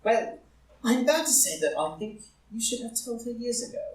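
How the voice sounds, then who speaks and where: A man playing a languid, witty gentleman and loyal friend, speaking with urbane formality in a live play reading. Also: very high-pitched, animated, normal speed. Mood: urbane, wry. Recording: roomy echo, far from the mic.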